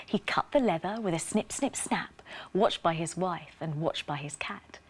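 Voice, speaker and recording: A woman presenting a scripted children's bedtime story in an expressive, sing-song rhyming delivery. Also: fairly low pitch, moderate pace, slightly rough.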